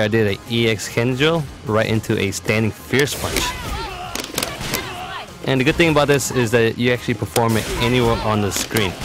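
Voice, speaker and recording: A man giving explanatory video game tutorial commentary in a casual, matter-of-fact way. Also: fairly low pitch. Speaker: competitive gamer presenting a character guide. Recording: voice-over with music behind. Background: game music.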